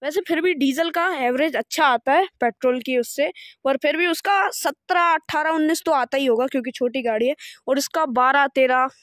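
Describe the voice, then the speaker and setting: A young boy with a high, bright voice chatting through a road-trip vlog. He films on a phone held at arm's length while riding in a car, with the vehicle audible behind him. He keeps saying "okay" and "guys" as he goes. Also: normal speed, no room sound, studio quality. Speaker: travel vlogger. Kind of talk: casual travel vlog. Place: outdoors and in a moving car.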